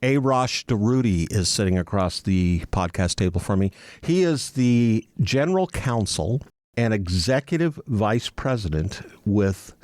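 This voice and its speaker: A man's voice: fairly low pitch, lively intonation, a little slow, a little mellow, dry recording. A man hosting a studio podcast interview, asking friendly and enthusiastic questions at the podcast table. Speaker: podcast host.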